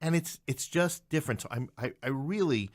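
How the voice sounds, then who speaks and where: A man from New York City talking casually as a podcast guest, explaining things in an animated way and often repeating short phrases. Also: a little high, fairly quick, no room sound, studio quality. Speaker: guest, playwright and English professor.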